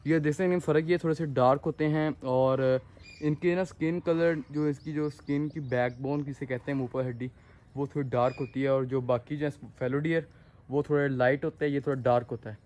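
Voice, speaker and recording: A young man with a Pakistani accent, talking casually and haltingly to viewers as a farm-owning vlogger, outdoors on a phone. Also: mid-range voice, steady tone, darkish tone. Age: young adult. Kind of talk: casual vlog tour, explaining to viewers. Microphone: handheld phone at arm's length.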